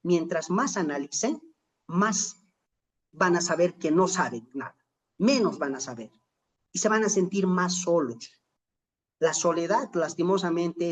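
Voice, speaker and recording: Bolivian accent, middle-aged lecturer, medium pitch, reflective motivational teaching, emphatic phrasing, rhetorical questions. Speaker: lecturer in an online teacher-training course.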